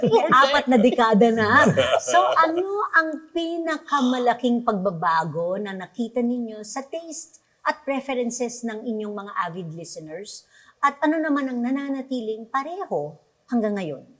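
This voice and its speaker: A woman's voice: on the high side, animated, moderate pace, a bit bright. A woman with a Filipino accent hosting an interview in a conversational style. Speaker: interview host.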